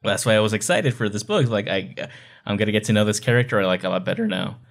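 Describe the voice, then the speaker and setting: A man's voice: on the low side, fast talker, creaky. A man co-hosting a podcast, talking in an enthusiastic, conversational way in a casual book club chat. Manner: enthusiastic, conversational fan talk. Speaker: podcast co-host.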